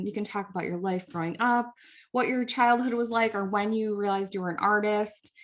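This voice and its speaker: An enthusiastic woman hosts a podcast interview. She speaks in a cheerful, upbeat way at a middle pitch and welcomes her guest warmly. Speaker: podcast host.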